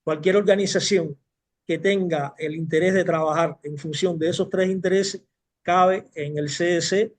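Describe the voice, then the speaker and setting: A man explaining a political position formally and deliberately as a coalition representative on a panel. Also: sits high, fairly even intonation.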